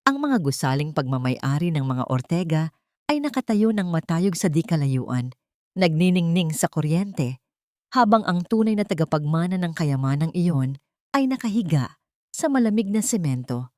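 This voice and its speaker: A woman's voice: deep voice, very animated, normal speed, a little mellow, dry recording, studio quality. A woman reading a scripted melodrama as a storyteller, in a solemn and emotive manner. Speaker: audio story narrator. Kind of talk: dramatic scripted narration of a Tagalog melodrama.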